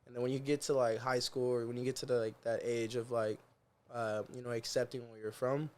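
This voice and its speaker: A man's voice: mid-range voice, level tone, a little fast, darkish tone. A young man from the Seattle area, a guest musician chatting casually in a podcast interview, talking in slang and quoting himself. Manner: laid-back slangy talk, quotes himself.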